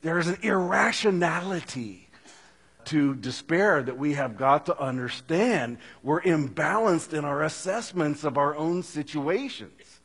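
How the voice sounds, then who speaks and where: A man preaches slowly and earnestly, his voice a little raised in pitch and slightly husky. He swings from loud emphasis down to quiet, hushed lines. A long hall reverb hangs around every phrase. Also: expressive. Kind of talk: sermon.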